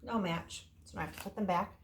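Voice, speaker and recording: A woman's voice: medium pitch, a little fast, vocal fry. A woman teaching young children informally, explaining things simply in casual words. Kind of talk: informal children's lesson and story time. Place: indoor room. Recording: echoey room, faint noise.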